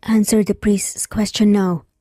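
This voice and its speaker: A woman's voice: medium pitch, flat delivery, slow pace, dark tone, studio quality. A woman with a Filipino accent voicing a cold, vengeful young groom in an audio romance story, with a menacing manner. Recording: roomy echo, faint music behind.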